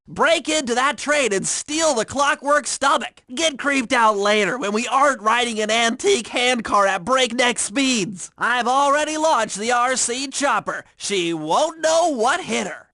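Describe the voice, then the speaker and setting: A bright, fussy turtle genius. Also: male voice, very high-pitched, dry recording, full character voice. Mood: confident. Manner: precise, wordy, fussy technical talk. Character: nerdy, anxious young male turtle inventor and hacker. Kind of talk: video game voice acting.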